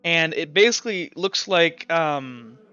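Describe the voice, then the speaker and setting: A man acting as game master, improvising tabletop narration in halting descriptions full of fillers and repeats. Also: on the high side, expressive, pushed and bright, clear, resonant tone, no echo. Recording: muffled lo-fi mic with music. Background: Latin chant music.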